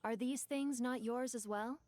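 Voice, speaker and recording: A woman's voice: a little high, fairly even intonation, unhurried, darkish tone, no room sound, natural voice. A woman voicing an ancient, devoted djinn for a video game, speaking in a theatrical, eloquent and formal way. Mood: tender, probing. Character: ancient djinn woman, grand, wistful, devoted to her lost mistress. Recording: music behind the voice.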